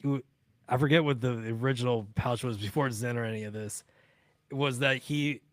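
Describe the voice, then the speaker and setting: A man's voice: mid-range voice, moderate pace, no room sound. A man co-hosting a casual studio talk show, rambling a little as he qualifies his points.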